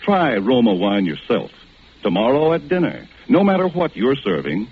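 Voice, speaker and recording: A man's voice: mid-range voice, big pitch swings, moderate pace, breathy edge. A man reading a 1940s radio advertisement as an announcer, with polished salesmanship. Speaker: commercial announcer. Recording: tinny, narrow-band vintage radio broadcast.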